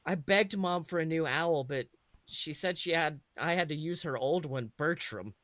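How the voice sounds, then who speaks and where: A man's voice: a little high, normal speed, fairly bright tone, no room sound, close to an everyday voice. A man voicing an eager, proud eleven-year-old wizard boy who tells his own story in a chatty, self-correcting way. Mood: excited. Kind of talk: first-person dramatic storytelling.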